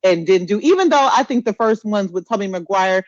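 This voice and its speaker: A woman's mid-pitched voice comes through dry and a little dull, as if on lo-fi audio. She co-hosts a podcast casually, giving opinions emphatically and repeating phrases to press her point. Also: animated, fairly quick, a little mellow, no room sound. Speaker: podcast co-host.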